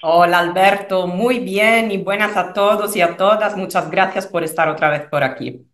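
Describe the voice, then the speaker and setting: A woman in her thirties from Italy, with an Italian accent, answering interview questions as a relationship coach over a video call in a room at home, expressive and pausing with fillers. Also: medium pitch, reverberant. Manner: expressive, searching for words with fillers. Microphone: video call webcam.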